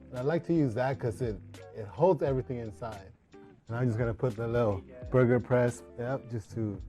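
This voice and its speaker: A man's voice: medium pitch, dark tone. A man in his early forties, a chef, talking casually and explaining his cooking as a contestant. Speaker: restaurant owner and chef, contestant. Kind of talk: casual talk and cooking demonstration.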